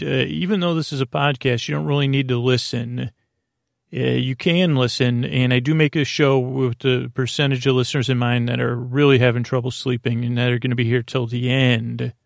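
Man, gravelly creak, dry close sound, lulling bedtime podcast host, meandering, friendly, halting. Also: medium pitch, no echo. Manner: lulling, meandering, circular tangents. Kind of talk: rambling bedtime sleep podcast monologue.

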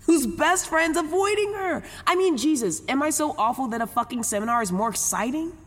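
A woman's voice: high voice, animated, a little fast, in a large hall. An actress playing a volatile, foul-mouthed, wounded young woman in a naturalistic audio drama, rambling and arguing with herself. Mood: self-loathing. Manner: rambling, profane, argues with herself.

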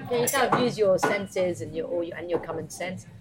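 A woman chef and cookbook author chatting casually about cooking, lively and laughing often. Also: fairly low pitch, brisk, over a noisy crowd. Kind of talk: casual chat.

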